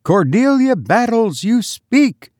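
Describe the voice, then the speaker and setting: Guy doing a bossy indignant lady of the house, voice pitched way up, sharp and demanding, storybook style. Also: very animated, slow, no echo, studio quality, full character voice. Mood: alarmed, demanding. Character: peremptory, indignant mistress of the house, a woman. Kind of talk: dramatised storybook reading.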